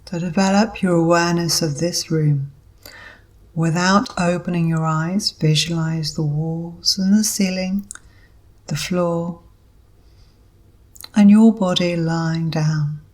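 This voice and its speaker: Slow, low-voiced woman guiding meditation. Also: fairly even intonation, darkish tone. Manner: measured, instructional, with pauses between short phrases. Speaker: yoga nidra instructor. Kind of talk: guided meditation.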